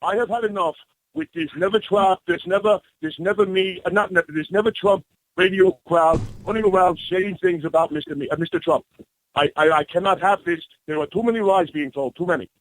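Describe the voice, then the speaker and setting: A man's voice: high voice, a little fast, fairly bright tone, no echo, rough recording. A man playing a blustering, flustered campaign staffer on a prank call to a radio show, pompous and stumbling over names. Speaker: prank caller.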